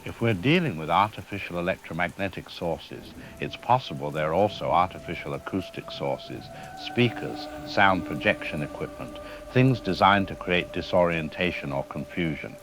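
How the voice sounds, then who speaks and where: A man playing a cautious, troubled scientist in a scripted radio drama, explaining technical matters in measured, formal language. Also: low-pitched. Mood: cautious, uneasy. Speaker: expert guest. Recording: steady rain-like background noise.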